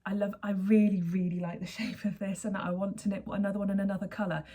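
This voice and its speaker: A woman in her forties with a hoarse voice, hosting her knitting podcast in a chatty, rambling way and often correcting herself mid-sentence. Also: mid-range voice, flat delivery, fast talker, dark tone. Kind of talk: casual chat to camera.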